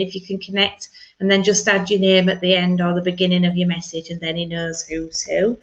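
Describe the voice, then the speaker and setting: A woman's voice: medium pitch, fairly bright tone, highs cut off. A woman with a Yorkshire accent hosting a church livestream, chatty and rambling, laughing often. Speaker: church service host.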